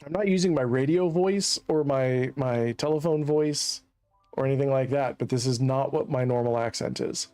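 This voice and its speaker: A man's voice: mid-range voice, normal speed, mellow. Streamer, male, from Central Virginia; rambling joker, faint music underneath. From Central Virginia, USA. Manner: rambling, chatty, laughs at his own jokes. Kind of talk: casual gameplay commentary.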